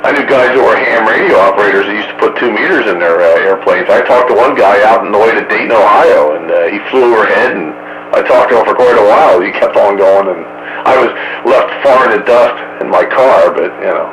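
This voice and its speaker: A man's voice: lowish voice, brisk. A man hosting a radio roundup, chatting casually. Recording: roomy sound with clear radio noise.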